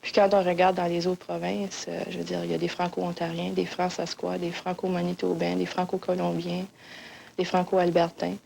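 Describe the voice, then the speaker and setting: A woman's voice: fairly low pitch, completely flat delivery, normal speed, vocal fry, a little mellow, a little hiss or hum, poor audio. A woman from Acadia speaking firmly in an interview clip. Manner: firm, explanatory.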